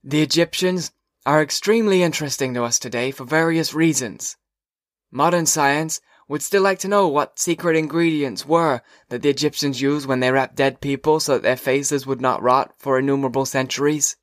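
A man voicing an old, sarcastic male history teacher who lectures slowly and pointedly. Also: medium pitch, no room sound, studio quality, natural voice.

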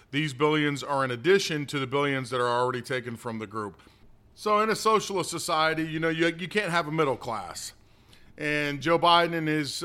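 A man's voice: mid-range voice, sharp tone. A man hosting a political talk show, delivering opinionated conservative commentary in a plain-spoken, conversational monologue.

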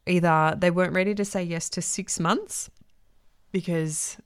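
A woman hosting her own podcast as a transformation coach, talking alone in an effusive way, often repeating herself for emphasis, with a voice gone husky from a week-long cough. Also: fairly low pitch, fairly even intonation, a little slow, no room sound, clean studio sound. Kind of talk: solo podcast monologue.

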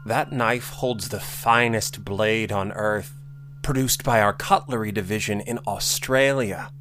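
An actor playing a smooth-tongued, scheming aging courtier in an audio drama, florid and deferential with an insinuating edge. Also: male voice, on the low side, unhurried, fairly bright tone. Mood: flattering. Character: wily, smooth-tongued aging courtier, flattering his master.

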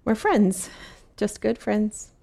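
An actress playing a modest young college student in an audio drama, hesitant, polite and apologetic. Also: female voice, mid-range voice, expressive, slow, vocal fry, warm tone, full character voice. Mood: hesitant, awkward. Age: young adult. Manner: hesitant, polite, self-effacing. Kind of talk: fiction podcast audio drama acting.

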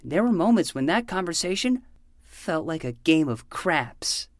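A man's voice: on the high side, sing-song, normal speed, no echo, clean studio sound. A man voicing an earnest, bossy twelve-year-old boy who talks like a dramatic hard-boiled detective in a fiction podcast. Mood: bossy, determined. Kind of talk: playful fiction podcast acting.

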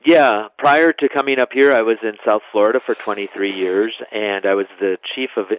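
A middle-aged man explains things conversationally in an interview. His voice is low and gravelly, coming over a narrow phone-like line. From west central Wisconsin, United States. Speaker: interview guest, national park superintendent.

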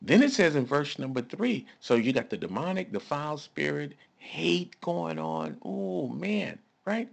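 Slow, gravelly-voiced male preacher. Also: on the high side, sing-song. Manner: emphatic, folksy, asks the audience rhetorical questions. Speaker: pastor. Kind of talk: conversational sermon.

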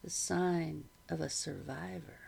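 A woman voicing a kindly, wise old grandfather in a storybook reading, speaking slowly, quietly and deliberately. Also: low-pitched, fairly even intonation, gravelly, breathy edge, heavily acted. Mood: gentle, wise. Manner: slow, quiet, deliberate delivery.

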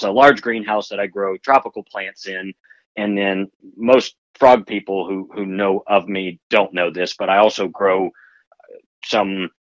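A man being interviewed as a guest, explaining plant growing in a relaxed way with many restarts. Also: lowish voice, no echo, lo-fi sound. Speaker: podcast guest, plant grower. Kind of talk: casual interview talk.

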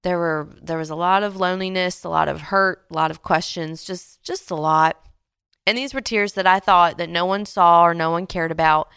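A woman in her late twenties hosting a devotional podcast, speaking gently and earnestly with soft pauses, her voice a little stuffy from a cold. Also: lowish voice, gravelly, clean tone, no room sound, studio quality. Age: late 20s. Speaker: podcast host. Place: Houston, Texas.

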